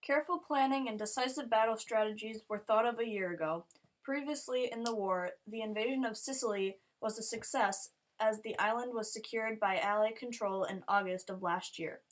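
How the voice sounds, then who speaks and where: A woman's voice: fairly high pitch, moderate pace, poor audio. A woman narrating a history newsreel from a prepared script.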